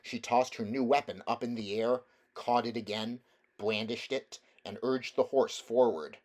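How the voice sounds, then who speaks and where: A man reads a storybook aloud in a middle-pitched voice. It is scripted narration from an amateur audiobook reader. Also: steady tone, unhurried, darkish tone.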